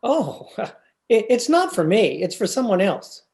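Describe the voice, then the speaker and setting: A man's voice: high-pitched, sing-song, unhurried, a little mellow, exaggerated voice. A man playing an earnest, worried husband, hesitant and exasperated, in old-time radio comedy style.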